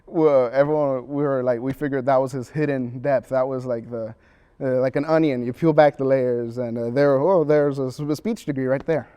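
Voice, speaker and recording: A young man speaks casually as a student actor being interviewed. His voice is smooth and relaxed, sitting at a medium pitch. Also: normal speed. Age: young adult.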